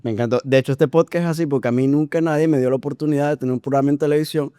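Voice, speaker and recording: A man's voice: fairly high pitch, steady tone, very fast, dark tone, clean tone, no room sound, studio quality. A man hosting a podcast, asking long, rambling questions in casual talk. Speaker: podcast host. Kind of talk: casual interview.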